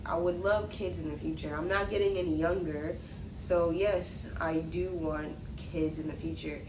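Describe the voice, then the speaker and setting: A woman's voice: fairly low pitch, echoey room, noisy background. A young woman with a sniffly cold chatting casually as she answers viewer questions, with lots of likes and ums. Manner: relaxed, unhurried, many likes and ums, reads questions aloud. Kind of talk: casual Q&A chat.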